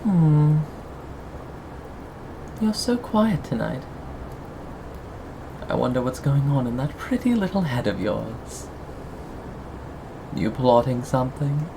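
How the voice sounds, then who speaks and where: A performer of unclear sex playing a sadistic, possessive cannibal captor in an ASMR roleplay, lingering on words with mock tenderness and soft menace. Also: mid-range voice, sing-song, slow pace, gravelly, pauses often, noisy background, full character voice. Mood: teasing, mocking. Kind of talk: ASMR roleplay, scripted monologue to listener.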